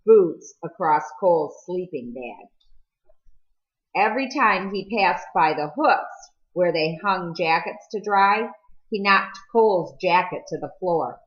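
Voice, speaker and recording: A woman's voice: on the low side, highs cut off. A woman reading a novel aloud as scripted narration. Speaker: audiobook reader.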